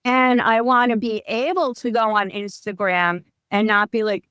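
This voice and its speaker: A woman's voice: on the high side, fairly even intonation, normal speed, fairly bright tone, clear, resonant tone, no room sound, studio quality. A woman in her fifties, a wellness coach talking as a guest in a podcast interview, chatty and often restarting her sentences. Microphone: remote call.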